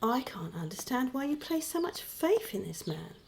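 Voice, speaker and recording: Female actor for outspoken radio-play wife, a doubting woman, high-pitched, with lively swings in intonation and probing delivery. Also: normal speed, vocal fry, a little hiss or hum, full character voice. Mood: sceptical, tense. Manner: questioning, pointed. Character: sceptical, outspoken wife caught in a conspiracy. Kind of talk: radio drama acting.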